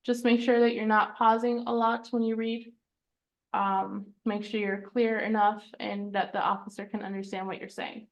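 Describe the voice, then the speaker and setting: A woman's voice: medium pitch, flat delivery, moderate pace. A woman teaching a citizenship class, explaining the reading test in a plain, reassuring way, step by step. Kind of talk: casual classroom instruction. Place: online class recording.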